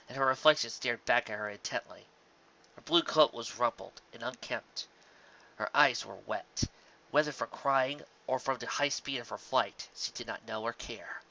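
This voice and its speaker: Someone narrating fan fiction, measured pace, sharp bright voice, kinda muffled home recording. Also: medium pitch. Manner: measured, occasionally stumbling over words. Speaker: amateur audiobook narrator. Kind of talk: scripted fan fiction narration, dramatic reading.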